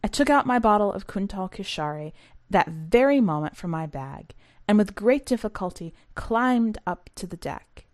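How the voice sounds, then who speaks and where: A woman's voice: mid-range voice, lively intonation, normal speed, a little mellow, no echo, clean studio sound, natural voice. A woman reading as an earnest balding father who recounts a perilous sea voyage in dramatic storytelling.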